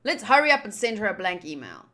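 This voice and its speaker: Bright, animated woman reading a game aloud. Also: mid-range voice, quick pace. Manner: chatty, expressive, reacting fondly to the game. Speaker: host of a visual novel playthrough. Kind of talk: reading game narration aloud with casual asides. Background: background music.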